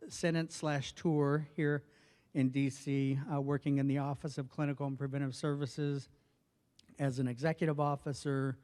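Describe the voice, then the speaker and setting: A man in his forties from northwestern New Mexico, a health official speaking softly at a formal event, with many pauses and fillers. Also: medium pitch, level tone, clean tone. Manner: soft-spoken, many hesitations and fillers. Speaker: federal health official giving a speech. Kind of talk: personal remarks at a formal event. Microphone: podium microphone. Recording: long reverb of a large hall.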